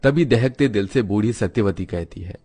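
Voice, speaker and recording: A man's voice: fairly low pitch, animated, normal speed, mellow, faint music behind. A man narrating a scripted moral story as its storyteller.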